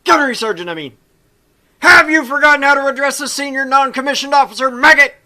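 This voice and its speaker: A man voicing a tall, furious Marine drill sergeant who shouts and barks insults, read aloud from a visual novel. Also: extremely high pitch, quick pace, a little hiss or hum, pitched above the performer's own voice, heavily acted. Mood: furious barking.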